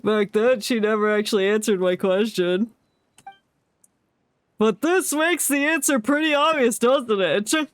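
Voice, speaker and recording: A woman's voice: a little high, measured pace. A woman streamer giving casual, playful, joking commentary on a game she plays with a friend.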